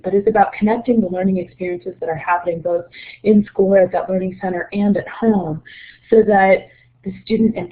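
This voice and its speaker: A woman with a slight cold giving an explanatory, measured webinar presentation as a presenter. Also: on the low side. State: getting over a cold, slightly low voice. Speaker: presenter, report co-author. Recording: narrow muffled phone-like line.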